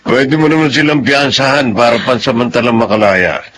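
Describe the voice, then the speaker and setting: An actor playing a stern, fed-up Filipino police officer and uncle, blunt and firm, in a radio drama. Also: male voice, mid-range voice, fairly even intonation, a little fast, fairly bright tone, a little hiss or hum, natural voice. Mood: matter-of-fact, firm.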